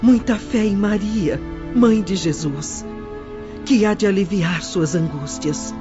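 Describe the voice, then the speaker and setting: A woman's voice: mid-range voice, slow pace, close to an everyday voice. A woman playing a tender, devout mother, soothing and earnest, in an audiobook drama. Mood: tender consolation. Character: tender, devout mother comforting her grieving son. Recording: dull lo-fi audio under clear music.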